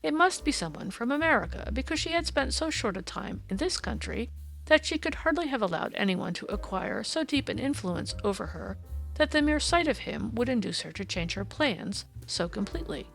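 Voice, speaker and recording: A woman voicing a brisk, courteous Victorian male detective in an audiobook, precise, formal and explanatory in manner. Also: very high voice, big pitch swings, gravelly, fairly bright tone, soft background music, heavily acted, poor audio. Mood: explanatory, assured.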